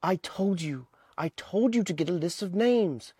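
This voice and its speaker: A woman voicing a boy leader with curt, sharp delivery, close to her own voice. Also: fairly low pitch, lively intonation, a little slow, slightly rough, no echo. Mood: firm. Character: young boy leader, firm.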